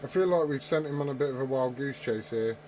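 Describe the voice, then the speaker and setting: A man's voice: mid-range voice, fast talker. A man chatting casually over CB radio, joking and swearing freely. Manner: storytelling with tall tales. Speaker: CB radio operator. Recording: very noisy CB radio with clicks.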